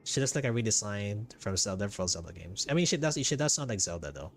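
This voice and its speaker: A man giving casual, jokey game playthrough commentary as a streamer, with drawn-out reactions. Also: fairly low pitch, lively intonation, a little hiss or hum. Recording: game music behind the voice.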